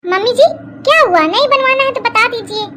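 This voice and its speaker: A woman puts on a young cartoon bride with an extremely high voice. She wheedles sweetly and turns demanding, sounding whiny and modern. Faint noise sits behind the recording. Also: animated, a little fast. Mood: impatient. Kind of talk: comedy cartoon dialogue.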